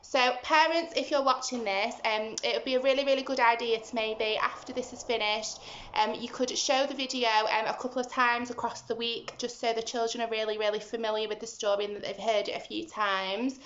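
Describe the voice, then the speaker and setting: A woman teacher talking simply and deliberately to young children as she explains and reads a storybook aloud. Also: on the high side. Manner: simple, deliberate, instructive, animated when reading. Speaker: early-years school teacher. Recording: roomy, slightly muffled home recording.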